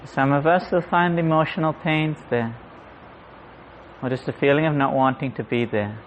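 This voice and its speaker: A man guiding a meditation in a gentle, unhurried manner, leaving long pauses. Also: fairly high pitch, steady tone. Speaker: meditation guide. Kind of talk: guided meditation. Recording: muffled audio with clear background noise.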